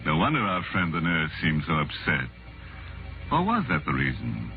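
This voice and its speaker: An actor playing a ghoulish, tongue-in-cheek horror show host, with a wry, macabre drawl in 1940s radio style. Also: male voice, rumbling low, huge pitch swings, normal speed, full character voice. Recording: tinny 1940s radio recording with music.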